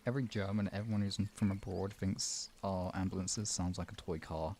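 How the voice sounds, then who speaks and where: A man's voice: sits low, fairly even intonation, fairly quick, darkish tone, slight background noise. A man hosting a live stream, talking informally and off the cuff to viewers, often rambling and trailing off mid-thought. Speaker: live stream host and relaxation guide. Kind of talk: casual, improvised live-stream chat with viewers.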